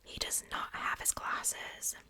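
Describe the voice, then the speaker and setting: A woman speaking in a breathy, unvoiced whisper in a casual ASMR show-and-tell style, hushed and informal. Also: slow pace. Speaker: ASMR video creator.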